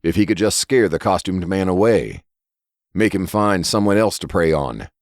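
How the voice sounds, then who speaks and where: A man's voice: low voice, animated, normal speed, no room sound, clean studio sound. A man reading a scripted horror story as its narrator.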